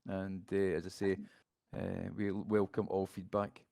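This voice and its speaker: A man co-hosting a podcast, giving his view in a measured, agreeable way. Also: low voice, flat delivery, creaky, a little mellow. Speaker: podcast co-host. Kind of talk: casual podcast discussion.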